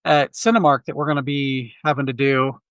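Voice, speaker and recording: A man's voice: mid-range voice, fairly even intonation, fairly quick, fairly bright tone, smooth, dry recording. A man co-hosting a film podcast, chatting candidly in a rambling way with many restarts. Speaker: podcast co-host. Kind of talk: casual film chat. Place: Quad City area.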